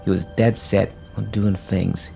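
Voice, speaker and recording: An actor playing a folksy, dramatic male storyteller who narrates a Christmas ghost tale in deliberate, suspenseful short phrases. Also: low voice, flat delivery, creaky, dark tone, soft background music, heavily acted. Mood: wry, emphatic.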